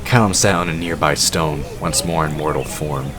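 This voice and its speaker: A man's voice: a bass voice, normal speed, over music. A man narrating a scripted fantasy story for an audio drama. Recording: clearly noisy background. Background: ambient sound effects.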